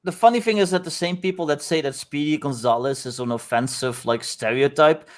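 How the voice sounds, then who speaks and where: A man's voice: fairly high pitch, a little fast. A man chatting casually on a stream, laughing often and swearing freely.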